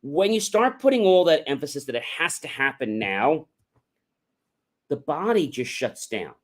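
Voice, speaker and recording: A man's voice: on the high side, sing-song, a little slow. A man hosting a motivational livestream, talking in a casual, rambling, conversational way with emphatic asides. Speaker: livestream host, motivational speaker.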